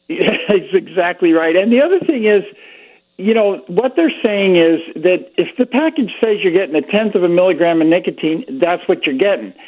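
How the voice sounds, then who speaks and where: A man's voice: high voice, normal speed. Man on a tinny phone line. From Pennsylvania. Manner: easygoing storyteller, relaxed and chatty. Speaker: physician guest. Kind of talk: casual radio chat. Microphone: phone call.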